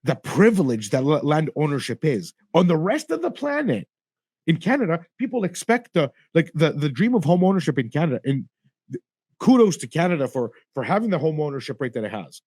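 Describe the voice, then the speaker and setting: A man's voice: on the high side, very animated, a little fast, a little mellow, slightly rough, no echo, clean studio sound. A man from Greece, co-hosting a podcast, speaking animatedly with emphatic rhetorical questions over a video call. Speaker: podcast co-host. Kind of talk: casual chat on a video call.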